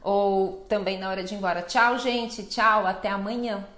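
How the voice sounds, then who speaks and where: A Brazilian Portuguese-accented woman teaching a language lesson, explaining slowly and carefully and often checking in with "né?". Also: mid-range voice, roomy sound. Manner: slow, careful explanations with frequent "né?" and lively greeting examples.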